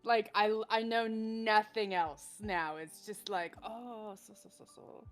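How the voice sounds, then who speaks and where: A woman in her thirties co-hosts a game stream in casual chat. She talks in quick, lively bursts at a middle pitch, and soft music plays faintly behind her. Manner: animated, nosy, talks fast in bursts.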